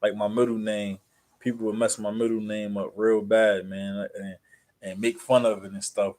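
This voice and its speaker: American man with flat, even delivery. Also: on the low side. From New Jersey, USA. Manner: halting, repetitive, filler-heavy rambling. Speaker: livestream host. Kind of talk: casual livestream chat.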